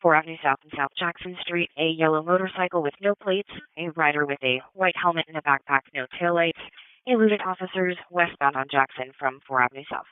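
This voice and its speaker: A female emergency dispatcher comes through on a narrow, phone-like police radio channel. Her voice is smooth and clear, with a dry sound. She gives terse, procedural announcements methodically. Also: deep voice, brisk, no echo. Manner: clipped, procedural, reads addresses and details methodically. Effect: police radio transmission. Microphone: radio dispatch microphone.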